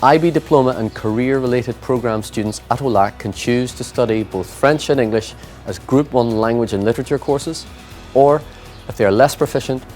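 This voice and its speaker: A man's voice: medium pitch, darkish tone. A man giving formal, scripted narration for a school promotional video. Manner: formal, measured reading. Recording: music bed underneath.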